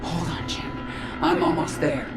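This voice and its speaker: A commanding queen of an alien swarm in a game cinematic. Her voice is breathy and intense, with an anguished edge. Music plays clearly behind it. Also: low-pitched, fairly even intonation, a little slow. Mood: urgent. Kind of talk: video game cinematic acting.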